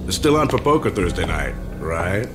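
An actor playing a friendly, teasing law-enforcement colleague in casual video game dialogue. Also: male voice, low voice, big pitch swings, normal speed. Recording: music behind the voice.

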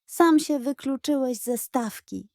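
A woman's voice: high voice, takes it slowly, mellow, dry recording, clean studio sound, pitched above the performer's own voice. A woman voicing a cheeky, scheming, whiny pug dog in a children's audio story.

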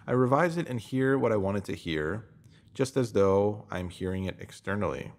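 A man narrating a scripted self-help text in an instructive, measured way, posing emphatic rhetorical questions. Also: lowish voice, lively intonation. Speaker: narrator reading a written post.

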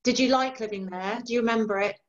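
Woman, 40s, mixed Yorkshire-Irish accent, bright raised voice, chatty and enthusiastic, dull lo-fi video call sound. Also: fairly high pitch, fast. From East Yorkshire, England. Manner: chatty, enthusiastic, laughs easily. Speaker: author being interviewed. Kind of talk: casual chat. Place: home room lined with bookshelves.

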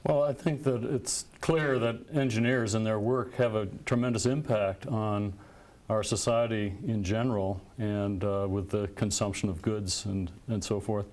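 An older man, a philosophy professor, explaining his views in a measured way on a studio panel. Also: lowish voice, fairly even intonation, a little mellow. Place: television studio set. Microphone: lapel mic.

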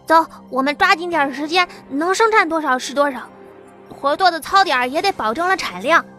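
A woman voicing a boy who builds weapons, speaking briskly and matter-of-factly. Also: sits high, animated, pushed and bright, faint music behind, voice pushed up. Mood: businesslike. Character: boy, clever young weapon maker.

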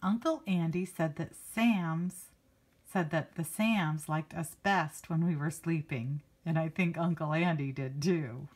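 A woman's voice: low-pitched, a little slow, darkish tone. A woman reading a picture book aloud to children like a teacher, expressively stretching key words and adding casual asides. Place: indoors, book held before a handheld camera.

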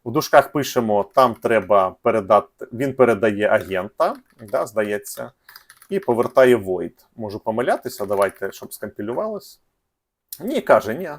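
A man's voice: fairly low pitch, lively intonation, moderate pace. A man hosting a casual coding tutorial, speaking in slangy, informal language and thinking aloud with hesitations. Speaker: programming tutorial host.

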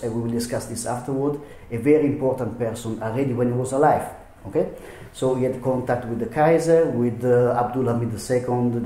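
A man's voice: medium pitch, mellow. A man presenting archive documents at a symposium, hesitant, with frequent fillers and non-native phrasing. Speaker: historian presenter. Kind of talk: conference presentation. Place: lecture hall. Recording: echoey hall.

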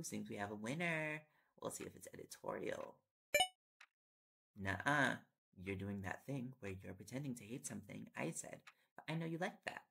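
Man acting a very creaky-voiced affectionate reporter boyfriend. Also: lowish voice, fairly bright tone, slight background noise, full character voice. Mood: teasing, mock indignant. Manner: playful, coaxing, rambling when on a topic. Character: tired, affectionate, teasing young male reporter boyfriend. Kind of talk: intimate audio roleplay, sleep-aid acting.

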